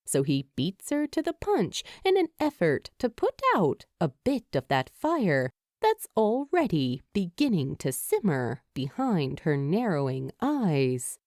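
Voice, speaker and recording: Female storyteller, expressive scripted read, warm relaxed timbre, dry close sound. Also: on the low side, no echo. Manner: expressive, deliberate reading. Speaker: fan fiction podfic narrator.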